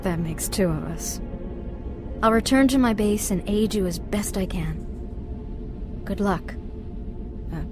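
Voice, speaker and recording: A young human sorceress acted by a woman speaks at a middle pitch over music. She is sensible, skeptical and assertive, with brisk video game cinematic delivery. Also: natural voice. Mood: earnest, wry.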